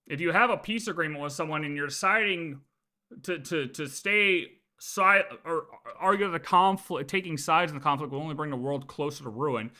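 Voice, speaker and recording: Bright-voiced man arguing on a livestream. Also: fairly high pitch, normal speed. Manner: emphatic, argumentative, repeats points. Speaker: livestream host reacting to game lore. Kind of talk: casual live commentary, arguing a point.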